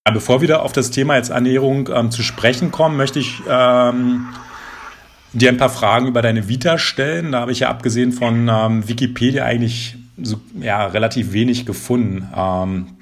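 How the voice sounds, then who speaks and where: A man hosting a podcast, reading a calm scripted intro and then asking interview questions. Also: medium pitch, normal speed, faint music behind.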